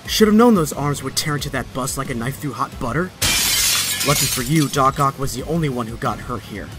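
An actor playing a young, wisecracking but stressed superhero in a comic dub, with a sardonic, conversational delivery. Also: male voice, mid-range voice, lively intonation, fairly quick, over music, natural voice. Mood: tense narration. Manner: sardonic, conversational inner monologue. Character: young male superhero, wisecracking yet stressed and self-doubting. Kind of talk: dramatic comic dub acting.

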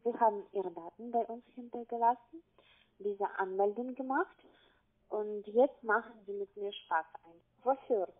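A woman with a foreign accent speaking on a phone call as a call-centre agent, insistent, with halting non-native phrasing. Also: medium pitch, steady tone. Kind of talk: phone conversation. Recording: tinny narrow phone line.

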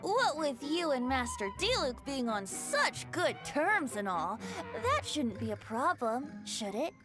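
A woman's voice: high-pitched, sing-song, a little slow. A woman voicing a playful, theatrical youthful boy bard with a mock-formal manner in a video game. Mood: sly, playful. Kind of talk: video game character acting. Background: music.

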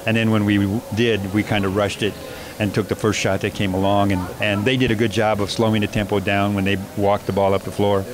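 A man coaching basketball, answering postgame interview questions in a measured way with many fillers and restarts. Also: on the low side, level tone. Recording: noisy background.

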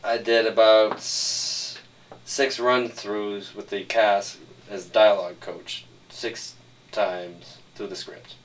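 A man's voice: fairly low pitch, level tone, slow pace, distant mic. A man talking casually in an interview as a screenwriter and dialogue coach.

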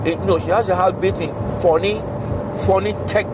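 A man's voice: high-pitched, steady tone, fairly quick. A middle-aged man with a Nigerian accent telling casual stories, repeating phrases for emphasis and acting out dialogue. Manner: repetitive, emphatic, acts out dialogue. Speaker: father telling stories about his daughter. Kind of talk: animated casual storytelling. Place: inside a moving car on a highway. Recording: loud car road noise.

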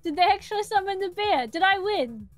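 A woman's voice: extremely high pitch, expressive, brisk, loud music behind the voice. A woman co-commentating on a game stream in casual, teasing banter.

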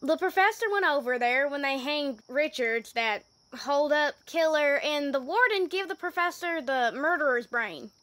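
Woman playing a high, bright folksy sheriff. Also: lively intonation, moderate pace, hardly any pauses, no room sound. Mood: folksy, wary. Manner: folksy, rustic, hesitant. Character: folksy small-town female sheriff, gossipy and cautious. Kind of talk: amateur old-time radio drama acting.

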